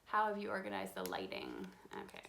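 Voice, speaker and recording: A woman in her thirties hosts a relaxed live question-and-answer chat about card making from her home craft room, reading out viewer questions between frequent ums. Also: very deep voice, a little fast, creaky, slight background noise. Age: 30s. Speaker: craft channel host. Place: home craft room with a desk, lit by a window.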